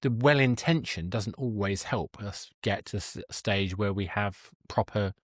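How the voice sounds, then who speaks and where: A man's voice: lowish voice, unhurried, no echo, clean studio sound. A man hosting a podcast, chatting casually with his guest in an earnest, discursive manner. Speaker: podcast host. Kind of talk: casual interview conversation.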